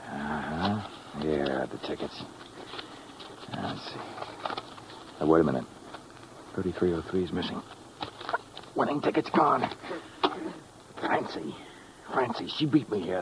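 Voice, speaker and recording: An actor playing a greedy, scheming gambler in a 1950s radio drama, with a sly, sardonic delivery. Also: male voice, low voice. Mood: furtive, intent. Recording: noisy old radio recording.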